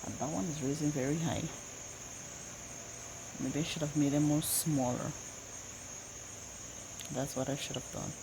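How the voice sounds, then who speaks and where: A woman's voice: low voice, steady tone, measured pace, vocal fry, pauses often. A woman with a Guyanese accent chats casually to viewers in a home cooking vlog, thinking aloud and repeating herself. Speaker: cooking vlogger. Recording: noisy home recording with insect chirps.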